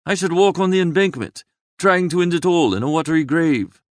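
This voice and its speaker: Idle, flippant young gentleman male reader for comic storybook: droll, wordy, higher-pitched, very animated. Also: a little fast, dry recording, clean studio sound, close to an everyday voice. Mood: breezy, droll. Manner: wordy, breezy, drolly exaggerating. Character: Bertie Wooster, young idle upper-class gentleman, flippant and languid. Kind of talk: comic first-person audiobook narration.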